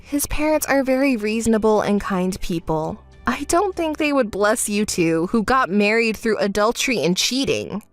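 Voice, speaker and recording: A woman voicing a calm, fed-up young woman who answers in a level, dry, scolding way in a dramatized story. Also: mid-range voice, normal speed, few pauses, no room sound, faint music behind, voice pushed down, lightly acted.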